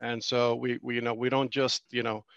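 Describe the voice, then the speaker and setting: Gravelly, halting man opening a teaching. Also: mid-range voice, flat delivery, a bit bright, no room sound. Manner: halting, many fillers and restarts. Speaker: host introducing a teacher. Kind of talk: loose, rambling introduction. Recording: dull, muffled sound.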